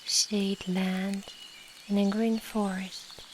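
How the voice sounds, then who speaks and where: Slow, soothing woman guiding meditation over rain. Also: mid-range voice. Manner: soft, soothing, measured with long pauses. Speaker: meditation guide. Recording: steady rain noise behind the voice. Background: rain and nature ambience.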